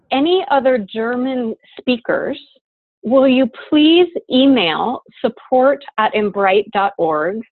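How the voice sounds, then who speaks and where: A woman's voice: a little high, dry recording. A woman facilitating an online course on a video call, earnest and full of um and uh. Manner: earnest, lots of fillers. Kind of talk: leading an online class call. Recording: dry, phone-like call audio.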